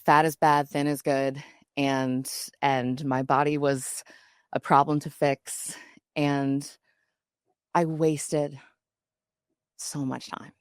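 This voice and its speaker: A woman speaking slowly and haltingly in a confessional clip. Her voice is low and flat and barely moves in pitch. The recording is dry. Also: smooth, no room sound. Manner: slow, halting, reflective.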